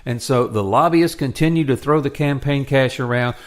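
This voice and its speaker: A man's voice: medium pitch, normal speed. An American man hosting a political talk radio show in a conversational, emphatic and opinionated way.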